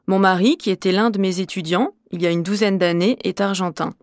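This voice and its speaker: A woman from Normandy, a French teacher, answering interview questions in a measured, clear, explanatory way. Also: mid-range voice, fairly even intonation, dry recording, studio quality. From Normandy, France.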